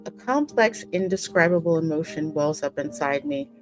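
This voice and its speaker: Gamer lady, slightly low voice, acts out the script n reacts, faint music behind. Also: lively intonation, normal speed. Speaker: gaming host voicing the player character. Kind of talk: dramatic script reading mixed with casual reactions. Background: game music.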